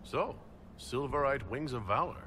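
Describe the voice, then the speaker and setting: A man's voice: medium pitch, lively intonation, unhurried, bright, forceful tone, slight background noise. A man playing a composed commander in a video game, direct, questioning and authoritative. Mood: calm, probing. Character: composed, probing male commander of an inquisition. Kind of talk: video game dialogue acting.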